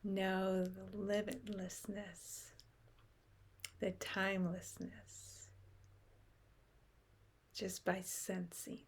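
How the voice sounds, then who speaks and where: A woman leading a guided meditation in a slow, gentle way, with long pauses between short phrases. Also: medium pitch, level tone, husky.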